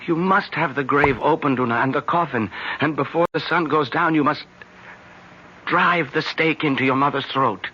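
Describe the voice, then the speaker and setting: A man playing a grave, urgent villager in old-time radio drama, with an ominous, deliberate, theatrical delivery. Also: on the high side, bright, forceful tone, breathy edge. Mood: urgent, grim. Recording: muffled, noisy old radio recording.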